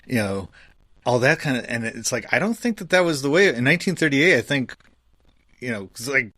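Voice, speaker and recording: Male podcast host, mid-pitched and conversational, informal history chat, dry recording. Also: expressive, a little fast, pushed and bright, dry recording. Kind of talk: casual conversational history discussion.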